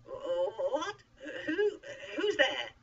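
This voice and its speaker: A man's voice: very high-pitched, very animated, drawn-out words, creaky, slightly rough, distant mic, muffled recording, voice pushed up, exaggerated voice. A man voicing a frightened, reluctant shepherd who stammers and protests in a dramatized Bible story. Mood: startled disbelief. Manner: stammering, exclaiming, pleading.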